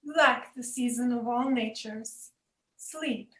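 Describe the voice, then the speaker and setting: Girl doing shakespeare queen, anxious but firm, formal, higher voice, roomy echo. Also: takes it slowly. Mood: weary, concerned. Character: anxious, controlled queen managing her unstable husband. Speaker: student performer. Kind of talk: Shakespearean radio play acting. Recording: echoey room.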